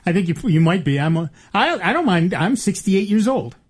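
Chatty high-voiced man in his sixties. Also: big pitch swings, a little fast. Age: 60s. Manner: chatty, laughs easily, restarts often. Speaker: radio host. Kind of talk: casual call-in radio talk.

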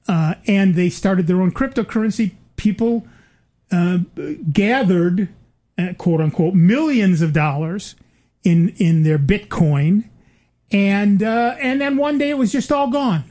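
An older man co-hosting a relaxed conversation. He wanders through his points with plenty of ums and uhs and restarts. He drops in dry, wry asides along the way. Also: sits high. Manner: rambling, many fillers and restarts, wry asides. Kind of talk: casual chat.

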